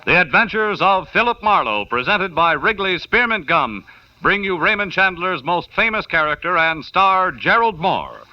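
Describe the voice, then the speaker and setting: An American man working as a 1950s radio announcer, reading scripted copy in a polished, emphatic, salesmanlike way. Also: on the high side. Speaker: radio announcer and sponsor pitchman. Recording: muffled 1950 radio broadcast.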